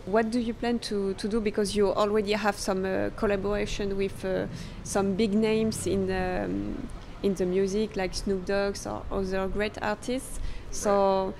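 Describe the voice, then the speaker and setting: A French-accented woman interviewing a guest in English at a conference, pausing often to search for her words. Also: mid-range voice, little pitch movement, creaky, darkish tone, slight background noise. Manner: halting, searching for words with many fillers. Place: crypto conference venue.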